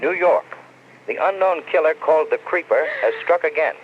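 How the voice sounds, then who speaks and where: An actor playing a formal, urgent newsreader heard through a radio set, in 1940s bulletin style. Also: male voice, a little high, lively intonation, normal speed, a little hiss or hum. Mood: grave, urgent. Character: urgent radio newsreader reporting grisly killings. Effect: radio set filter. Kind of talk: 1940s news bulletin reading. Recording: thin phone-like old radio sound.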